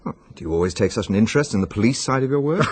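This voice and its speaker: An actor with a British accent playing an inquisitive, courteous gentleman in a radio drama. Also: fairly low pitch, animated, rapid-fire, breathy edge. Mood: curious.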